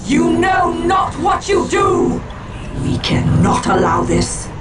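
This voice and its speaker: A woman's voice: very high voice, very animated, slow pace, a crowd in the background, background noise, heavily acted. A woman playing a haughty, desperate alien seer in a video game, crying out imperious warnings in declamatory style. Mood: desperate fury. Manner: declamatory, shouted. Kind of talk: dramatic video game cutscene acting. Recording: loud music over distant shouting.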